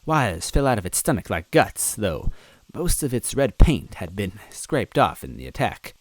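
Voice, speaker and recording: Low-voiced man reading a story aloud. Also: a little slow, no echo. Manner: expressive, adds asides to the listener. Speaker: narrator, story reader. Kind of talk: reading fan fiction aloud.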